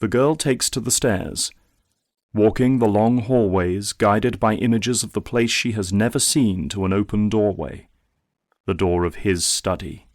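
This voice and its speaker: A man's voice: on the low side, a little slow, no echo, studio quality. A man narrating an audio drama in a solemn, theatrical, scripted style.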